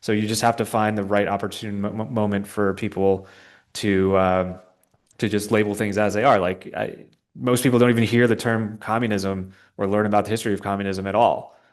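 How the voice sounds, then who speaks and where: A young man speaking as a guest, giving informal political talk in answer to questions, somewhat halting as he searches for words. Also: on the low side, steady tone. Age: young adult.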